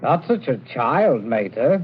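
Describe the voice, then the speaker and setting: An actor playing a flippant, sneering young adult son, mocking and sardonic, in a 1940s radio drama. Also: male voice, medium pitch, sing-song, unhurried, heavy background noise. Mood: sneering amusement. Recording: dull, band-limited 1940s radio broadcast.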